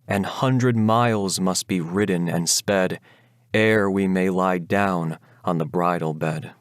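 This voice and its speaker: A man's voice: deep voice, steady tone, slow pace, no room sound, clean studio sound. Man playing an urgent, commanding undead horseman bridegroom. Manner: urgent, archaic, imperious speech. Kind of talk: dramatic Gothic ballad recitation.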